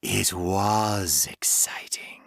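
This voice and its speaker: A man's voice: medium pitch, wildly swooping pitch, darkish tone, husky, no echo, studio quality, voice pushed up, heavily acted. A man voicing a whispering, gloating fear-eating creature. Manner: slow, crooning, drifting into whispers. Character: ancient creature feeding on fear, gloating and hungry.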